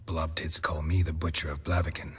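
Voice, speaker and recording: An extremely low, heavily rasping voice of a weathered monster hunter. He is curt and deadpan, a terse fantasy game hero. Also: a little fast, full character voice. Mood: dry, curt. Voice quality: gravelly, husky.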